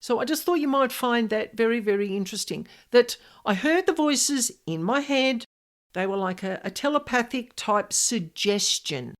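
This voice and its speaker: A woman's voice: a little high, lively intonation, normal speed. A woman hosting a podcast, telling personal stories and giving advice in an informal, emphatic monologue, repeating key words for stress. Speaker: podcast host, paranormal specialist.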